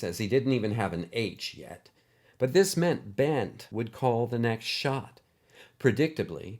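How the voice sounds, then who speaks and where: A man narrating a scripted short story as a host, with expressive, animated delivery. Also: mid-range voice.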